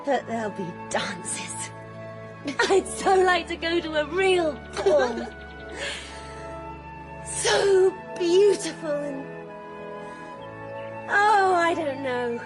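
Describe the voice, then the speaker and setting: A woman's voice: extremely high pitch, animated, very slow, pauses often, music in the background, full character voice. An actress playing an impulsive, dreamy young Russian countess, girlish and rapturous, in a radio drama.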